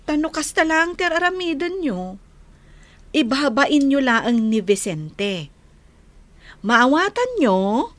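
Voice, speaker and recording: A woman's voice: sits high, sing-song, slow pace, long pauses, no room sound, exaggerated voice. A woman acting in a radio drama as a firm, reproachful grown daughter with an Ilocano accent.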